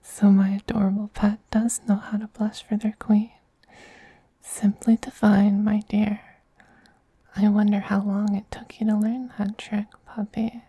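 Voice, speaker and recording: A man voicing a tender, possessive male vampire queen in a soft-spoken ASMR roleplay, in a slow, breathy, soothing whisper with gentle dominance. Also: high voice, monotone, dry recording, heavily acted, low-quality recording. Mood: teasing, doting.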